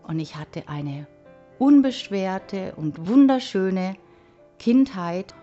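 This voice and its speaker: A woman's voice: mid-range voice, lively intonation, slow pace, dark tone, faint music behind. Earnest woman in her fifties, lo-fi. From southern Germany. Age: 50s. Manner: reflective, earnest storytelling. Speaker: interviewee. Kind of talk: personal testimony.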